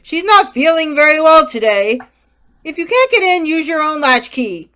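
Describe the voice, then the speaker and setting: A woman playing an earnest police inspector, brisk and emphatic, in an old-time radio crime drama. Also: sits high, very animated, pushed and bright, heavily acted. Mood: earnest, determined. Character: earnest police inspector and pleading robbery victim.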